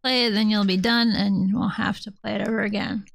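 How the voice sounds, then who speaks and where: A woman's voice: medium pitch, steady tone, a little fast, gravelly, no room sound. A woman streamer chatting casually with viewers while she plays, rambling and self-deprecating. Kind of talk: casual chat while gaming.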